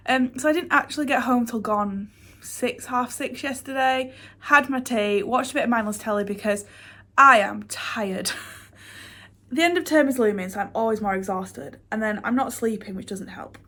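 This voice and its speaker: A woman's voice: on the high side, normal speed, pushed and bright. A young woman chatting to camera about books and her week, rambling with many fillers and restarts, and sounding stuffy from a cold. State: congested with a cold. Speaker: book vlogger. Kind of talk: casual chatty vlog to camera.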